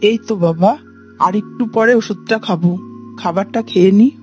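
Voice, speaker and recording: A woman voicing a gentle, long-suffering elderly mother-in-law in a cartoon, placating and resigned. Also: mid-range voice, moderate pace, soft background music, lightly acted. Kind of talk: cartoon moral story voice acting.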